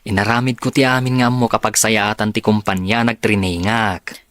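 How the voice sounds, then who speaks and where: A man's voice: fairly low pitch, steady tone, moderate pace, fairly bright tone, no echo, natural voice. A man acting a confident, easygoing young engineer in an Ilocano radio drama. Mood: self-assured.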